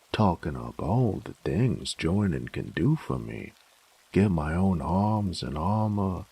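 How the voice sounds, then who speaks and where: A man playing a cocky, sadistic bandit in an audio roleplay, with a gruff, teasing drawl. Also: darkish tone, heavily acted. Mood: amused, reassuring. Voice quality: gravelly, very deep drawl. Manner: drawling, teasing, folksy, crude. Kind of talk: intimate audio roleplay drama.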